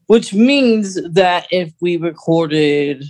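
A man's voice: high-pitched, lively intonation, very slow, darkish tone. A man co-hosting a podcast in a casual, conversational style. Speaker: podcast co-host.

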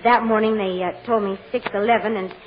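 Actress as a fussy chambermaid, muffled old radio. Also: female voice, medium pitch, steady tone, brisk, lightly acted. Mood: earnest, hesitant. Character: plain-spoken, fussy working-class chambermaid on the witness stand. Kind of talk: 1940s radio drama acting. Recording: dull, noisy old-time radio recording.

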